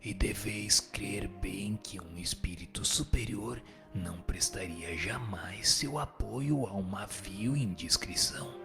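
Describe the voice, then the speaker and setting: A man playing a solemn spirit who answers questions with moral authority, in a measured, formal, didactic radio drama reading. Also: on the low side, gravelly. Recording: music bed under the voice.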